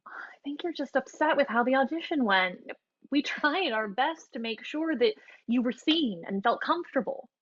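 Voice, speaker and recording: A woman's voice: high voice, animated, moderate pace, fairly bright tone, no room sound. An actress playing a diplomatic, evasive woman running an audition, conciliatory and hesitant, in a naturalistic play. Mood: apologetic, defensive. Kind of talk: naturalistic stage play acting over video call. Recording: muffled, dry video-call audio.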